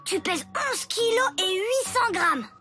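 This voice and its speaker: An actor playing an excitable, imaginative little boy with a dramatic, boastful manner in a French cartoon. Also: extremely high pitch, measured pace. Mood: proud, dramatic. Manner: dramatic, boastful, play-acting. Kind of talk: French animated cartoon dubbing. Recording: music behind the dialogue.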